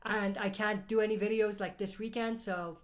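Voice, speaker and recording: A woman's voice: medium pitch, steady tone, normal speed. A woman hosting a casual live Q&A stream, reading out viewer questions and answering them, her voice a little off from a cold. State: has a cold. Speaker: livestream host.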